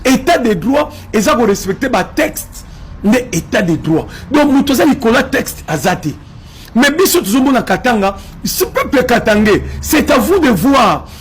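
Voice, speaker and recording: A man's voice: very high-pitched, sing-song, measured pace, husky. A man from Congo with a Congolese French accent giving impassioned political commentary, emphatic and repetitive, slipping in Lingala phrases.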